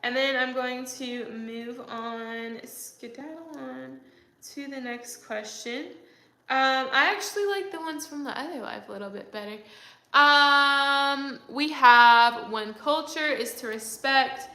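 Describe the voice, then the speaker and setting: A woman's voice: high voice, takes it slowly, bright, forceful tone, roomy sound. A young woman hosting a livestream, chatting casually and reading viewer comments aloud, with lots of fillers. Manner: chatty, rambling, many fillers. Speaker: livestream host.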